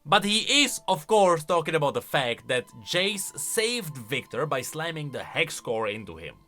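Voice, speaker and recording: A man's voice: a little high, big pitch swings, unhurried, bright, forceful tone, soft background music. A man giving chatty, informal, opinionated reaction commentary on video game lore.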